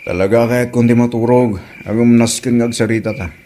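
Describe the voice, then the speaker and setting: Guy playing filipino son and husband in a radio drama, mellow medium voice, placating, plain spoken. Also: mid-range voice, steady tone, brisk, a little hiss or hum, natural voice. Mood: explaining. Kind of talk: Ilocano comedy radio drama acting.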